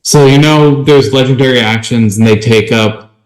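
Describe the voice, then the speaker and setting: A man's voice: mid-range voice, normal speed, rough recording. A man co-hosting a podcast, chatting casually about tabletop gaming. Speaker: podcast co-host.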